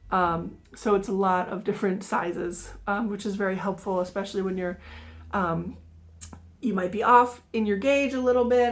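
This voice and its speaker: Raspy-voiced woman getting over a cold. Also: medium pitch. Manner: rambling, many ums and self-corrections. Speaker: guest knitter sharing projects. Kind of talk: casual show-and-tell.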